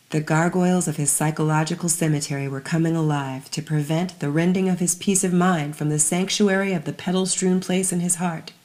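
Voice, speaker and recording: A woman with a somewhat low voice reads a piece of fiction as its narrator. Her delivery is scripted and measured, with a dramatic storyteller's phrasing that sometimes drops to a hush. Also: vocal fry.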